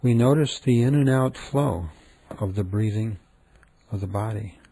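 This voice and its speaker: A man leading a guided meditation in a gentle, calm, unhurried manner with frequent pauses. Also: fairly low pitch, creaky, slightly rough.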